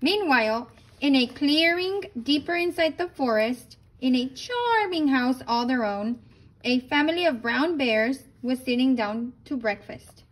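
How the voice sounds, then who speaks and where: A woman reading a children's storybook aloud in an expressive way, with brief asides. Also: high voice, unhurried, low-quality recording. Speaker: storybook reader.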